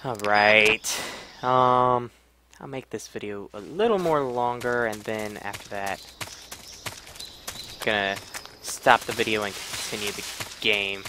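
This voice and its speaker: Casting: male amateur gameplay commentator, slightly low pitch, informal delivery, noisy recording with game ambience. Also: slow, long pauses, bright, forceful tone. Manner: offhand, informal. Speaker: gamer doing a playthrough.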